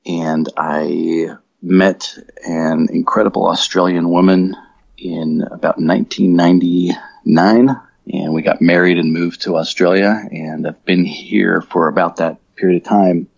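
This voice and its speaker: Deep husky American man, muffled audio. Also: a little slow, dry recording. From Colorado, USA. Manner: relaxed, rambling, self-correcting with frequent ums. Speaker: guest. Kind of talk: conversational podcast interview.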